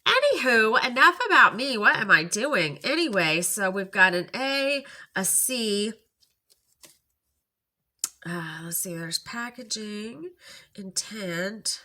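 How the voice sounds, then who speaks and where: A woman's voice: mid-range voice, expressive, slow pace, bright, forceful tone. A middle-aged woman with a stuffy nose, chatting at home as she reviews makeup, rambling with many ums and self-corrections. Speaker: makeup vlogger. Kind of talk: casual makeup review chat.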